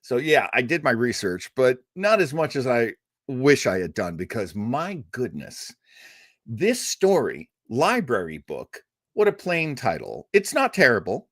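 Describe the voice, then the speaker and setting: A man's voice: mid-range voice, very animated, a little slow, no echo, clean studio sound. A man hosting a livestreamed radio-show listening party, chatting casually and enthusiastically with lots of fillers.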